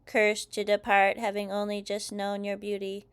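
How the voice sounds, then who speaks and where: A woman's voice: medium pitch, completely flat delivery, normal speed, clear, resonant tone, lightly acted. Woman doing a beeping, dutiful dad robot. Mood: smitten, dramatic. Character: dad playing a dutiful, overdramatic male robot.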